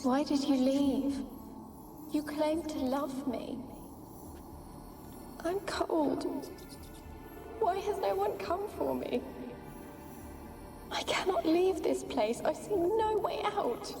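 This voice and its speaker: A woman playing the mournful, haughty ghost of a young noblewoman in a video game, plaintive and drawn-out. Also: high-pitched, dark tone. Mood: forlorn, wary. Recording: echoey voice with music behind.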